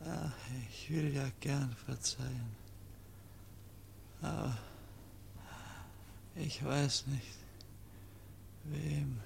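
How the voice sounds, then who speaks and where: An actor playing a dying, feverish young man in a radio drama, weary and halting, with long pauses between words. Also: mid-range voice, steady tone, vocal fry, slight background noise, exaggerated voice. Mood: weak, forgiving. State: weak, feverish, near death.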